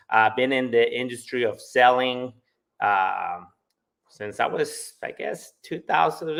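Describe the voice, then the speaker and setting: A man's voice: medium pitch, gravelly, pushed and bright. Guy with a spanish accent chatting on a live podcast, motivational, stresses his points. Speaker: solar sales entrepreneur, podcast guest. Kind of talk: casual live podcast talk.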